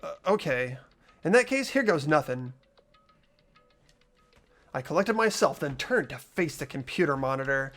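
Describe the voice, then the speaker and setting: A man playing an earnest, hesitant, anxious high school boy in an amateur video game dub, narrating and speaking in character. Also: a bit bright. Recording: music behind the voice.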